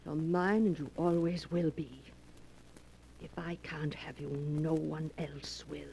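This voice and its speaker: An actress playing a possessive, menacing, catlike wife in a melodramatic, imperious 1940s radio drama style. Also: female voice, deep voice, fairly even intonation, slow pace, vocal fry, a little mellow, faint music behind, full character voice. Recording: noisy 1940s radio recording.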